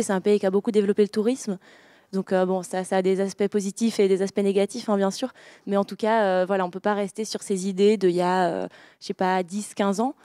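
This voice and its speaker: A woman's voice: medium pitch, warm tone, no echo, studio quality. A young woman from France, an author answering interview questions with many hesitations and restarts. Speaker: author guest. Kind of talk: interview conversation.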